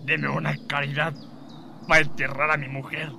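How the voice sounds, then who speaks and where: A man's voice: a little high, flat delivery, normal speed, gravelly. A man playing a poor, drunken, grieving Mexican widower who pleads and wails in a radio drama. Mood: desperate, pleading. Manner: pleading, wailing. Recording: reverberant with faint outdoor noise.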